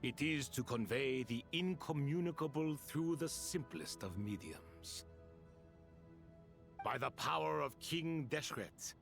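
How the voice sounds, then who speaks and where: An actor playing a cryptic ancient servant of a desert king in a video game, with a grandiloquent, deliberate delivery. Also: fairly high pitch, gravelly, fairly bright tone, full character voice. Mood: sly, teasing. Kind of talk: dramatic video game voice acting.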